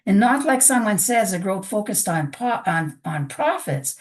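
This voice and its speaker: A woman resident addressing a town council, her voice hoarse from being unwell, speaking earnestly with frequent restarts and halting pauses. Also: mid-range voice, very animated. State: hoarse from illness. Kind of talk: public comment at a council meeting. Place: council meeting chamber.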